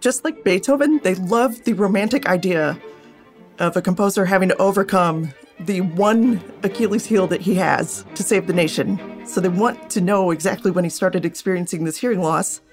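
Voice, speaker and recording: A woman's voice: medium pitch, moderate pace, faint music behind. A woman speaking as an interviewed scholar, explaining things casually and thinking aloud as she goes. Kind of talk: interview answers.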